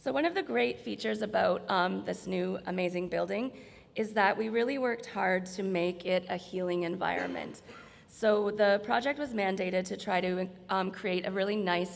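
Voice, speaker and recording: A woman with a slightly hoarse voice gives an informal, explanatory slide presentation in a large room. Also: medium pitch. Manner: explanatory, conversational. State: slightly hoarse, losing her voice. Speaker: presenter from hospital staff. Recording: reverberant hall.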